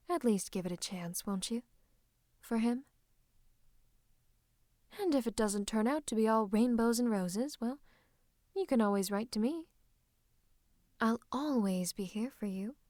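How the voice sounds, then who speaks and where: A woman playing a scheming royal advisor in a fantasy roleplay, speaking close to the listener in a coaxing, teasing, falsely comforting way with breathy asides. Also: a little high, a little mellow, no room sound. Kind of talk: roleplay ASMR monologue to the listener. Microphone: close microphone.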